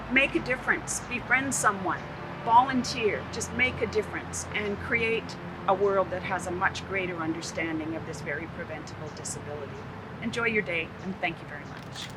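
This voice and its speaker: A woman reading a short inspirational story aloud as a guest, in a measured storytelling manner, then speaking directly to listeners. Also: mid-range voice, background noise. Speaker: guest reader.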